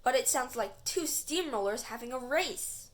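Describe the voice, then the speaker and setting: Woman as a bossy young boy adventurer, lively. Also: high-pitched, moderate pace. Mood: matter-of-fact. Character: boy adventurer narrator, matter-of-fact, bossy, playful. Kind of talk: children's storybook dialogue.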